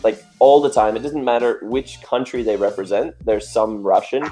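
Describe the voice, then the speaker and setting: A male dancer chatting casually in an interview, telling animated stories and laughing often. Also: on the low side, normal speed, soft background music.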